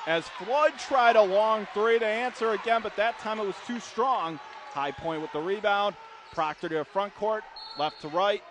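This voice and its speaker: Male radio play-by-play caller, high register, projected and excitable, courtside amid loud arena noise. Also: normal speed. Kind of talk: live basketball play-by-play commentary. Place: courtside in an indoor college arena. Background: gym noise, bouncing basketballs.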